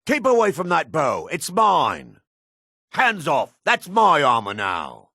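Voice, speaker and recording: A man voicing a gruff, guarded dark elf townsman in a fantasy video game, with a raspy, measured, theatrical delivery. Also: on the high side, huge pitch swings, dry recording, studio quality, exaggerated voice. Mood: indignant. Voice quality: raspy, gravelly.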